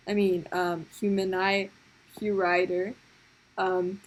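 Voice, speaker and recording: A woman's voice: mid-range voice, rough recording. A woman improvising comic stories as a storyteller, with a dramatic, sometimes hesitant delivery. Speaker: storyteller of improvised puppet shows.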